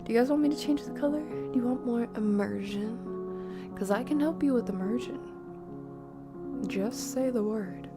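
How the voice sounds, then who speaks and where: A woman streaming a game, chatting playfully with viewers and often dropping into hushed whispered asides. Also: on the high side, normal speed, music in the background. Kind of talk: casual live-stream chat while playing.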